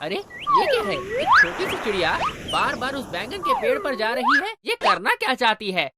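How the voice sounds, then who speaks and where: A woman voicing a greedy, grumbling, scheming tomcat in a children's cartoon, with an animated delivery. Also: very high-pitched, moderate pace, exaggerated voice. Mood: curious. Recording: loud music behind.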